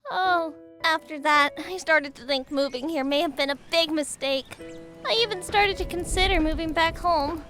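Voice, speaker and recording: A woman voicing an excitable, easily flustered ten-year-old girl in a cartoon parody dub. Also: sits high, moderate pace, faint music behind. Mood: gloomy. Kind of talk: animated parody dub acting.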